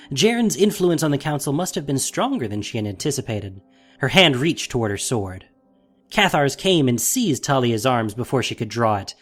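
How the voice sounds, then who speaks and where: A man narrates a dramatic story in an expressive, theatrical style. His mid-pitched voice moves in lively swings, with faint music underneath. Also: breathy edge.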